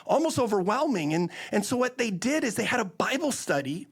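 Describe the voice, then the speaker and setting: A man's voice: high-pitched, very animated, a little fast, husky. A man from the Netherlands telling his story as an interview guest, with earnest, emphatic delivery and a preaching bent. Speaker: interview guest, missions organization leader. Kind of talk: conversational testimony and preaching.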